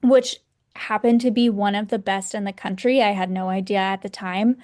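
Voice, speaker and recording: A woman in her thirties from Texas, a podcast guest telling her story in a relaxed, rambling way. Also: medium pitch, normal speed. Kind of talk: casual interview.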